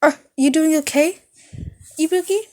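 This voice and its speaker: A woman's voice: high-pitched, normal speed, mellow, pitched above the performer's own voice, close to an everyday voice. A woman voicing a shy, anxious teenage nurse girl with a meek, hesitant, apologetic way of talking, in a story reading.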